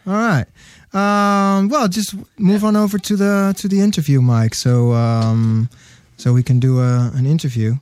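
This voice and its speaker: A man's voice: a little high, level tone, unhurried, few pauses, a little mellow, smooth. A man hosting a casual music interview, hesitant, with many ums and restarts. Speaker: host.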